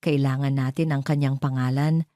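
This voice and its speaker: A woman's voice: rumbling low, fairly even intonation, normal speed, mellow, no room sound, studio quality. A woman voicing a wealthy, controlling older mother in an audio drama, silky with steel underneath. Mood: warning, calculating.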